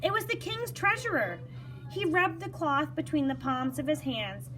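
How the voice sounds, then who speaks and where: A woman reading a children's story aloud in an animated way, acting out dialogue and pausing to explain words. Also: high voice, music in the background, rough recording. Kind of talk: expressive read-aloud with brief explanations for children.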